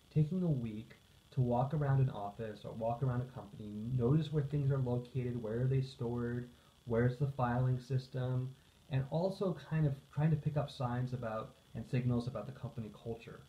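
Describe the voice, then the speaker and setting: A man's voice: medium pitch, dark tone. Man, earnest explainer, informational talk, stressed key phrases, roomy echo, slightly muffled recording. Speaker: psychotherapist, social worker and coach. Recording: echoey room, slightly dull sound.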